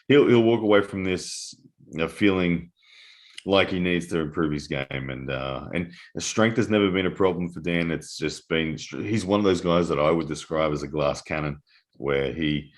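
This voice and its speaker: Very deep-voiced male sports commentator. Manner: analytical, with frequent restarts. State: losing his voice, early morning. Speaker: armwrestling commentator.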